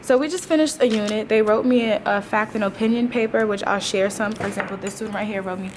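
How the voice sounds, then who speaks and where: A woman's voice: medium pitch, steady tone, fast talker, noisy background. A woman in her twenties, a teacher, chatting casually to a vlog audience in an informal, slangy way with wry asides. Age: 20s. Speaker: teacher and vlogger.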